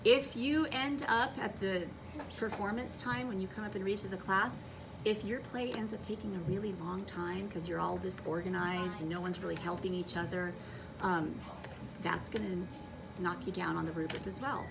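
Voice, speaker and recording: A woman teaching young children in a classroom, giving clear directions and checking understanding with short questions. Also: medium pitch, steady tone, normal speed. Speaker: elementary school teacher. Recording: distant mic in noisy classroom.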